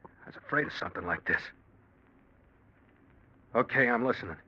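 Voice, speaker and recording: A muffled old radio recording of a crime drama. a tough underworld gangster with a rough, husky voice at a middle pitch. He talks in blunt, slangy street style. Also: animated, moderate pace, bright, forceful tone. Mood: resentful.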